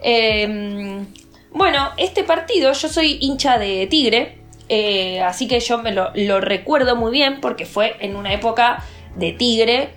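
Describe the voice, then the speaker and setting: A woman's voice: a little high, takes it slowly, a bit bright, soft background music. An Argentine woman co-hosting a casual podcast chat, talking easily with frequent hesitation fillers. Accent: Argentine Spanish. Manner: chatty, lots of hesitation fillers. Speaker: podcast co-host.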